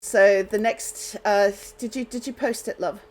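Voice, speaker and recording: A woman's voice: medium pitch, fairly even intonation, unhurried. Scattered, apologetic woman hosting a radio show. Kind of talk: casual unscripted show chat.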